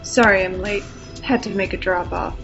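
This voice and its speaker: A woman acts a cocky, ghoulish harvester in an audio drama. Her mid-pitched voice is gravelly with fry, dry and disdainful. Music plays clearly behind a slightly dull recording. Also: steady tone, normal speed, natural voice. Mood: breezy, dismissive. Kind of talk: scripted audio drama acting.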